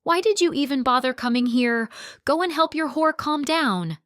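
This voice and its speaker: A woman voices a young wife who yells at her husband and mocks him. Her voice climbs high and loud, and she still sounds clean and smooth. The recording is dry and close. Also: moderate pace, no room sound, natural voice. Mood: furious. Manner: yelling, mocking, biting. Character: young wife, furious and sarcastic.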